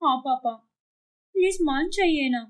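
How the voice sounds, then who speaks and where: Woman voicing high-pitched dramatic young daughter. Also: measured pace. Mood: pleading. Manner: whiny, dramatic. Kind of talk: cartoon moral story dialogue.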